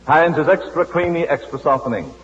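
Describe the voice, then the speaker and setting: A man announcing ads in a smooth, persuasive 1940s radio style. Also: on the high side, lively intonation, a little fast, fairly bright tone. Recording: dull old radio broadcast.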